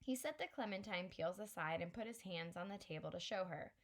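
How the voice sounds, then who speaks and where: A woman narrating a children's novel aloud in a clear, steady storytelling voice. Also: lowish voice, brisk. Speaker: audiobook reader.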